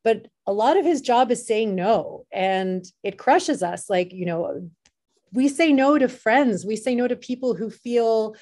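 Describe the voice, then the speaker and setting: A woman's voice: a little high, expressive, measured pace, a little mellow. A woman speaking conversationally as a film festival organizer in an interview, direct and plainspoken, in short phrases.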